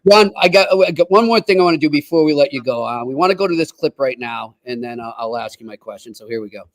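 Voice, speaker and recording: A man's voice: medium pitch, expressive, fast talker. A man hosting a sports podcast in a casual conversational style. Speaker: podcast host.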